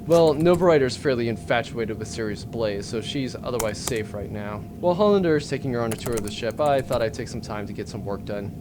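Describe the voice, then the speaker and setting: A man playing a bored, depressed, sarcastic spaceship accountant in a comedic audio drama, deadpan and grumbling. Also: medium pitch, brisk, hardly any pauses, background noise, close to an everyday voice. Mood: defensive deadpan.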